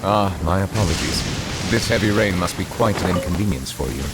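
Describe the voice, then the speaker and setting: A man's voice: deep voice, fairly quick. A man playing a polite, formal character in a fantasy video game, with courteous phrasing. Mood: apologetic. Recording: game audio with loud music.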